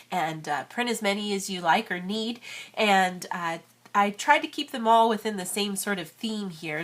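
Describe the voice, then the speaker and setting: A woman's voice: mid-range voice, a bit bright. Chatty woman with a cold. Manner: chatty, friendly, many fillers. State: has a cold. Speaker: origami crafter and channel host. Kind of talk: casual craft tutorial.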